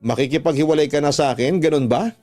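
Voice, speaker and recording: A man's voice: fairly high pitch, fast talker, a little mellow, natural voice. A man voicing a jealous, hurt young boyfriend in an accusing, emotional radio drama style. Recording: music under the voice.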